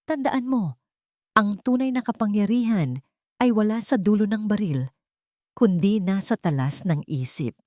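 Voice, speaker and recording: A woman with a Filipino accent voicing a stern, wise older military general in a story, measured and instructive. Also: medium pitch, expressive, warm tone, no echo, studio quality, voice pushed up, lightly acted. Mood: grave counsel.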